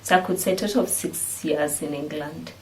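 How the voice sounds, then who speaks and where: Lower-voiced Ugandan woman chatting. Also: a little fast, slight background noise, rough recording. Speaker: vlog host. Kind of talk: casual couple chat to camera.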